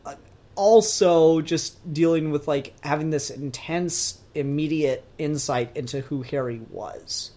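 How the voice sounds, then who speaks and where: A podcast co-host talking casually about pop culture, informally and with swearing, putting animated emphasis on points. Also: mid-range voice, unhurried.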